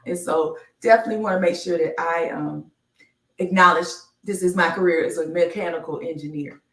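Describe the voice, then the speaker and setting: A woman reading a children's picture book aloud on a live stream, enunciating deliberately like a teacher. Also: fairly low pitch, fairly even intonation, fairly bright tone. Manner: slow, deliberate enunciation with teacherly announcements of each letter. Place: indoor room, live stream. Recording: roomy, echoey, distant microphone.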